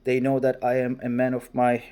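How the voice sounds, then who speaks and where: A man in his forties from Turkey, speaking English with a Turkish accent in a formal, deliberate way, pausing to search for words in a response vlog. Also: mid-range voice, monotone, warm tone, clear, resonant tone. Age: 40s. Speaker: vlogger. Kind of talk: direct-to-camera response monologue.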